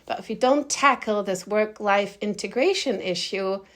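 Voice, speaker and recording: A woman's voice: mid-range voice, moderate pace, a bit bright. A middle-aged woman from Germany, slightly nasal from a cold, answering interview questions in a candid, informal and emphatic way. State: has a cold. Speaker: interview guest, agency founder.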